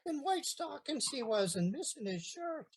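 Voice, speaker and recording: A woman's voice: high-pitched, sing-song, normal speed. Woman playing a tipsy, stubborn old gardener. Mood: indignant. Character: old half-drunk male gardener, stubborn and comic. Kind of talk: comic period stage acting.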